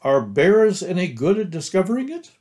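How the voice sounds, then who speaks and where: A man's voice: high voice, sing-song, normal speed, darkish tone, pitched above the performer's own voice. A man voicing a naive, eager, muddle-headed storybook teddy bear who hesitates over long words. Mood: curious, happy.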